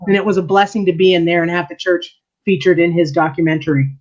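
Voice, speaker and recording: A woman's voice: fairly low pitch, fairly even intonation, quick pace, a little mellow. Woman historian, muffled lo-fi sound. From Canada. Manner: earnest explaining with frequent laughs. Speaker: historian and church archivist, interview guest. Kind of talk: casual interview answers. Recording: dull lo-fi sound.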